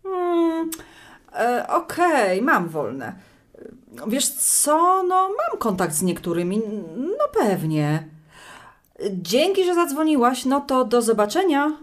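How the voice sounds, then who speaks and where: Actress as a chatty, animated middle-aged widow. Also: female voice, high voice, slow pace, exaggerated voice. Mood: excited, surprised. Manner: wry, colloquial, chatty. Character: chatty, wry middle-aged widow recalling her women's club. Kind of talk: audio drama monologue and phone acting.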